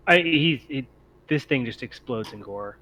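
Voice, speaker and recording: A man acting as game master, improvising narration and talking through rules in a hesitant, deliberate way. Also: medium pitch, animated, pushed and bright, poor audio. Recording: clear background noise.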